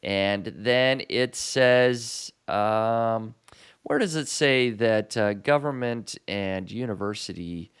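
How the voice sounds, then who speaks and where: Relaxed male podcast host. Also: lowish voice, slow pace, clear, resonant tone. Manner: relaxed, with frequent fillers. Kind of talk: casual podcast chat. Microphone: studio boom mic.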